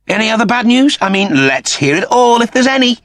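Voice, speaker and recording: Man doing a fussy, exasperated hologram, pitched up. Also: sing-song, a little fast, fairly bright tone, no echo, studio quality, full character voice.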